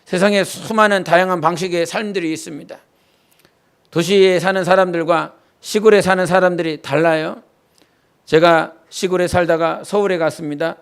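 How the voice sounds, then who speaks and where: Male pastor from Jeolla, high and smooth voice, earnest sermon with folksy anecdotes in a church. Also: steady tone, moderate pace. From Jeolla, South Korea. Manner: earnest preaching mixed with homely childhood anecdotes. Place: church sanctuary.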